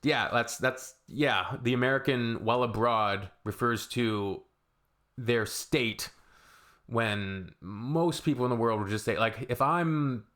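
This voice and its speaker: Male reaction host, laid-back and rambling, average pitch, unscripted commentary. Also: takes it slowly, fairly bright tone. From Canada. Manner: rambling, offhand, shrugging asides.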